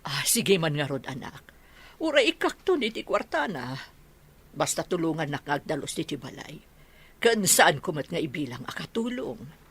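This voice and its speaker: A woman's voice: sits low, sing-song, normal speed, a bit bright, husky, exaggerated voice. A woman playing a concerned mother of a grown son in a radio drama.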